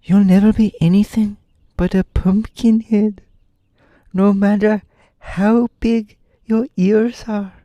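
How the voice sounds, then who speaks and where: A man doing a shrill, bossy young boy. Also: flat delivery, takes it slowly, no echo. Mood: earnest. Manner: shrill, exasperated shouting.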